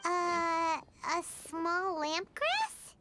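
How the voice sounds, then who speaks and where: A woman voicing a tiny, chatty, excitable fairy-like girl companion in an anime game, with a cheeky, exclamatory manner. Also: very high-pitched, extremely slow, very noisy, heavily acted. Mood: wary.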